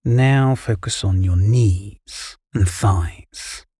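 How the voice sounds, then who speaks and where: A man guiding a relaxation meditation, giving calm, soothing, measured instructions. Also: on the low side, slightly rough, dry recording, studio quality. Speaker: meditation guide. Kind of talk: guided relaxation meditation, scripted narration.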